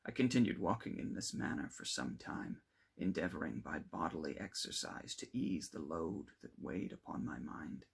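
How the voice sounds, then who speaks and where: A man reading classic literary fiction aloud as an amateur narrator, with dramatic, deliberate phrasing. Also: a bass voice, expressive, gravelly, slightly rough. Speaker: amateur audiobook reader.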